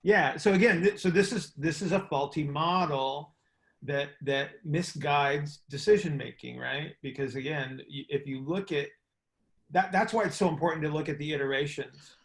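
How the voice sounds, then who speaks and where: Higher-pitched man teaching informally. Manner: halting, repeats words, asks checking questions. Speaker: host and coach teaching on a group call. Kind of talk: informal explanatory teaching on a conference call.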